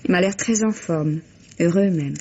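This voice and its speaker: A woman's voice: lowish voice, moderate pace, dark tone, lightly acted. An actress playing a gracious, composed lady hostess in a vintage French radio mystery, polite and well-spoken. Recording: dull vintage radio recording, faint hiss.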